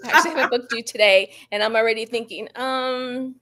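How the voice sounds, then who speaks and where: A woman's voice: a little high, brisk, pushed and bright. A woman author speaking as a guest in a casual book chat over a video call, candid and self-deprecating. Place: live online video call.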